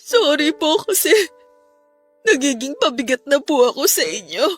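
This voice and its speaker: A woman's voice: high voice, very animated, moderate pace, dry recording. An actress with a Filipino accent playing a distraught, remorseful young woman in a radio drama, pleading over music. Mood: tearful pleading. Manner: pleading, tearful delivery.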